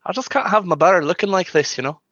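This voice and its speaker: A man's voice: sits high, fast talker, fairly bright tone, no room sound, dull audio. A man acting in a comedy radio play as a friendly, mild bartender with a slightly hesitant manner. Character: friendly, easygoing bartender, gently firm.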